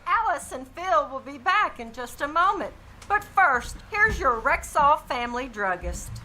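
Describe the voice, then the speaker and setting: A woman's voice: high-pitched, sharp tone, a little hiss or hum, full character voice, low-quality recording. A woman playing an earnest, folksy family druggist and announcer in scripted 1940s radio style, with emphatic, careful salesmanship. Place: theater stage in a live performance.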